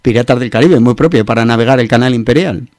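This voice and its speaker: A man's voice: medium pitch, fast, over loud music. A man with a Peninsular Spanish accent hosting a radio show, giving opinionated news commentary in an informal, hesitant way.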